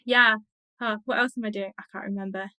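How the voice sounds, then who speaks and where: A woman's voice: mid-range voice, steady tone, fast, sharp tone, smooth, dry recording, clean studio sound. A woman speaking as an interview guest, chatting casually and enthusiastically like an academic who loves the subject. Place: indoor office on a video call.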